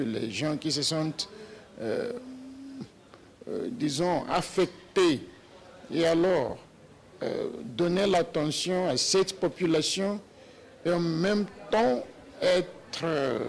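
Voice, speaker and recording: A man in his sixties from Nigeria, a papal nuncio, answering interview questions slowly with many hesitations and restarts. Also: a little high, vocal fry. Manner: slow and hesitant with many "euh" fillers and restarts. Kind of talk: slow, halting interview answers.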